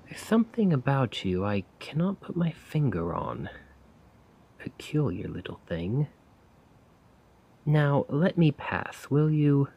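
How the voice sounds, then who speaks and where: A man playing a weary, self-doubting failed poet in a soft-spoken, rambling ASMR roleplay monologue. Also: medium pitch, slight background noise. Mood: wary, puzzled, then irritated, weary. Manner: slow, brooding, rambling. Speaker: roleplay audio performer.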